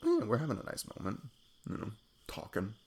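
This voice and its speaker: A man playing an affectionate, goofy best friend on a date in an intimate ASMR roleplay, rambling playfully in a husky, gravelly voice. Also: fairly low pitch, wildly swooping pitch, a little fast, a little mellow. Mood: tender, affectionate. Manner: rambling, playful, self-deprecating chuckles. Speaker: audio roleplay performer. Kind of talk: intimate ASMR roleplay, improvised casual chat.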